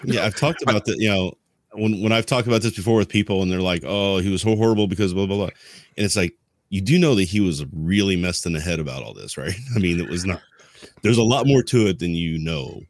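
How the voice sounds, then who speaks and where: Very deep-voiced man, rambling and explanatory. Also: quick pace, dry recording, studio quality. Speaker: podcast co-host. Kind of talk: casual discussion of history.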